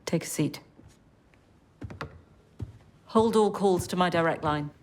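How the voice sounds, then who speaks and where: A lowish British female voice, clipped and commanding. She is a brisk, stern intelligence chief in a game cutscene, with faint noise behind her. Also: darkish tone. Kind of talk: video game cutscene acting.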